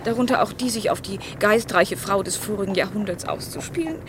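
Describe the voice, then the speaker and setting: An actress playing a witty, sharp-tongued lady-in-waiting, haughty, ironic and articulate, in a German period radio play. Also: medium pitch, brisk, noisy background, close to an everyday voice. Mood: mocking, amused. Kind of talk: German radio drama acting, period piece.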